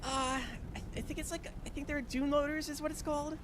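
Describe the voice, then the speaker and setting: Casting: male player as frightened young woman caller, high voice, stammering, game roleplay, noisy audio. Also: level tone, a little fast. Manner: stammering, hesitant, breathless repetitions. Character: panicked, frightened young woman calling emergency line.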